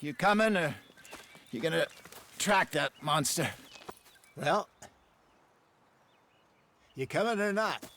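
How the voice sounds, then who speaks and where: An actor playing a hearty, good-humored older American hunter with a folksy manner, in a game cutscene. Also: male voice, fairly high pitch, lively intonation, takes it slowly, pauses often, pushed and bright, a little hiss or hum, exaggerated voice. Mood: rueful, friendly. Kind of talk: naturalistic game cutscene acting.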